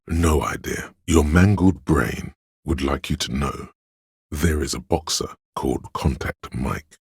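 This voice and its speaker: Game narrator: a know-it-all voice of knowledge, pedantic and measured, gravelly and very raspy, recorded close and dry. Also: male voice, a bass voice, no echo, exaggerated voice. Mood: smug, musing. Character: male inner voice of encyclopedic knowledge, erudite and smug. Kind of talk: scripted video game narration.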